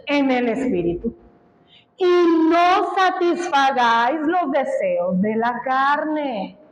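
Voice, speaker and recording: Slow, emphatic woman preaching in an echoey church. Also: high voice, animated. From Colombia. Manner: slow, emphatic, with rhetorical questions to the congregation. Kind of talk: sermon.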